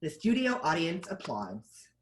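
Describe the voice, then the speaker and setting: A man's voice: a little high, wildly swooping pitch, a little slow. A man narrating scripted stage directions as the narrator of a table read.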